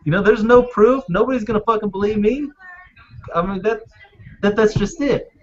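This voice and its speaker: A man's voice: high-pitched, normal speed. A blunt, crude man chatting casually and profanely as a podcast panelist. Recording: muffled lo-fi audio with faint noise.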